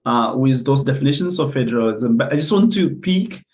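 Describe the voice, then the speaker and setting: A man's voice: mid-range voice, expressive, a little fast, darkish tone. A young man from Ethiopia giving an academic conference presentation over a video call. He talks hesitantly, with many fillers and restarts. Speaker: conference speaker.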